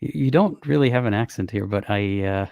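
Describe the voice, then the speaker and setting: A man from the Middle East, a native Arabic speaker, hosting a casual call-in livestream in a calm, even-tempered way. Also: lowish voice, fairly quick, creaky, clean tone, no echo. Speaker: livestream host.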